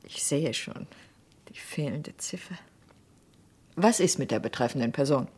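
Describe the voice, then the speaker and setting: An actress playing an anguished, probing doctor's wife in a German radio crime drama, speaking in a measured way. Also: female voice, rumbling low, animated, a little mellow, slightly rough, whispered, full character voice. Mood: wary, probing.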